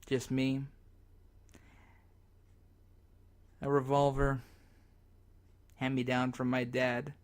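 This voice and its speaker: A man playing a despairing amateur radio broadcaster in a zombie apocalypse radio drama, speaking in halting, dramatic phrases. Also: mid-range voice, close to an everyday voice. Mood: despairing, agitated.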